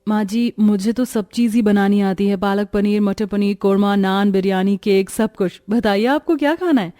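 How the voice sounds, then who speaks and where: A woman's voice: medium pitch, moderate pace, mellow, close to an everyday voice. A woman voicing an earnest, often complaining young daughter-in-law in a Hindi cartoon story, dutiful but overworked. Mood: confident.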